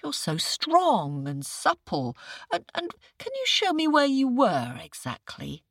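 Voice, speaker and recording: A wily male jackal , as a woman puts him on, drawls slowly and plays dumb in a raised, lilting voice. He sounds like a crafty trickster from a children's storybook. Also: high-pitched, wildly swooping pitch, husky, no room sound, studio quality, voice pushed up, full character voice. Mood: sly, puzzled. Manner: drawling and yawning, feigning puzzlement.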